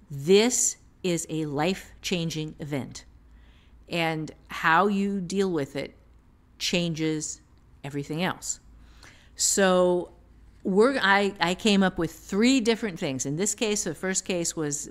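A woman with a slightly low voice talks as a writing teacher on a podcast. She explains in a casual way, going step by step and leaning on examples.

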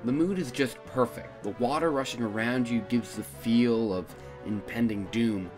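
Music plays clearly behind a man with a mid-range voice. He narrates a scripted video game review in an informal, casual way, offering his own opinions as he goes. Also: mid-range voice, moderate pace. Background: background music.

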